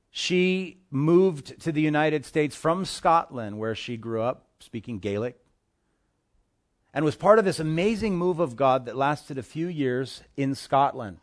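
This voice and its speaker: A man preaching a sermon as pastor of his church, impassioned, swinging between hushed asides and forceful declarations. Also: medium pitch. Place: church in Hawaii.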